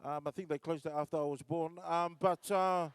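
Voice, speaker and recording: High-voiced man telling standup stories. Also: steady tone, moderate pace, clear, resonant tone, no echo. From New Zealand. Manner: storytelling with acted-out voices, many fillers and restarts. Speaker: comedian and MC. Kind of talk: live standup comedy. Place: large concert hall stage.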